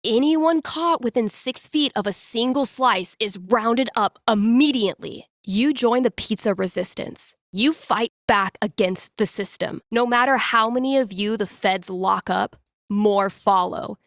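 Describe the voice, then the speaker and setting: A woman's voice: fairly high pitch, a little slow, dry recording, clean studio sound. A woman playing a laid-back, playful young radio DJ in a video game, with wry, slangy, mock-dramatic call-in patter. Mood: mock-solemn. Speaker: call-in radio show host.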